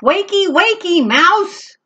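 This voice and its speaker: A woman's voice: high-pitched, very animated, measured pace, fairly bright tone, lo-fi sound, voice pushed up, heavily acted. A woman reading aloud, voicing a small, boastful male kitten who talks politely with puffed-up bravado.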